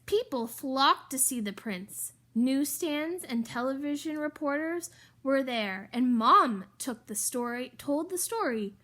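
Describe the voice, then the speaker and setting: A woman reading a children's story aloud in an expressive, emphatic way, with chatty asides to the listeners. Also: high-pitched, slow. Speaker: storybook reader.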